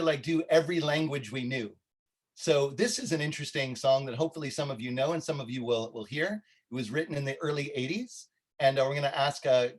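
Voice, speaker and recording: A man casually hosting a community concert, thanking performers and handing over to the next one. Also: a little high.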